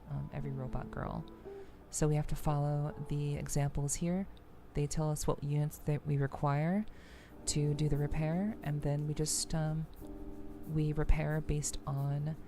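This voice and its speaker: A soft-spoken woman hosting a livestream, chatting casually in an ASMR style with hesitant, gentle game commentary. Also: low voice. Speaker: streamer, VTuber host. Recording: music playing behind the voice. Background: music.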